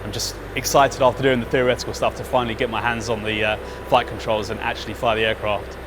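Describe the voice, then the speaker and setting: A man in his thirties, from the United Kingdom, talks in a low voice, steady and measured, pausing on ums. Clear background noise sits under his words. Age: 30s. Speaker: pilot trainee. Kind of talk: interview testimonial.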